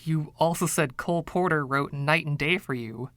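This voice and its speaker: A man's voice: a little high, normal speed, a bit bright, dry recording, studio quality, natural voice. A man voicing an exasperated, doubting pianist in a short story reading, questioning in an incredulous way. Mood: doubtful. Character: exasperated, doubting male pianist narrator named Andre.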